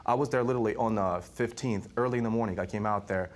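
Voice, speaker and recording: Fast-talking low-voiced man testifying. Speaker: defendant in TV court. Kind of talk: giving testimony.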